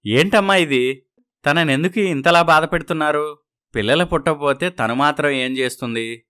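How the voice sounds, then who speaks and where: A man's voice: a little high, normal speed, sharp tone, clean tone. A man playing an upset, protective young husband in a moral story. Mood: indignant. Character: upset young husband defending his wife.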